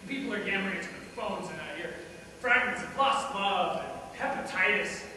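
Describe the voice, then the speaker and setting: A man's voice: high-pitched, animated, normal speed, sharp tone, full character voice. An actor playing a self-justifying, cynical dead man who deals in organs, delivering a theatrical stage monologue in an emphatic, rhetorical manner. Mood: exasperated. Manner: emphatic, rhetorical, swinging between musing and indignant outbursts. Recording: distant, very echoey hall, clearly noisy.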